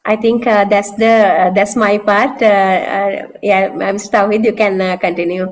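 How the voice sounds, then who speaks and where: A woman course instructor giving a relaxed, conversational overview of a course on a video call, with frequent casual fillers. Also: medium pitch, moderate pace, a little hiss or hum. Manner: conversational, loose with fillers like "you know, like". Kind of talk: online course orientation talk.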